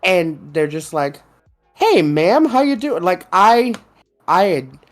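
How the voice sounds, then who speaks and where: A young man with a high, boyish voice that people mistake for a woman's. He talks slowly and drawn out in a relaxed podcast chat, laughing between phrases, with faint music in the background. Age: young adult. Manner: laughs often, slow and drawn-out. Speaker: podcast co-host. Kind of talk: casual chat.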